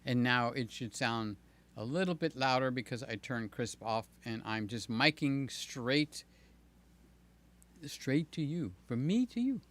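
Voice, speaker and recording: An older man hosting a live stream, chatting loosely with viewers, his voice slightly hoarse. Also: medium pitch, lively intonation, a little slow, fairly bright tone. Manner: rambling, jokey, talks to chat by name. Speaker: livestream host. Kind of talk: casual live chat with viewers. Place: home room with fans and air conditioner. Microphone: desk mic near the mouth.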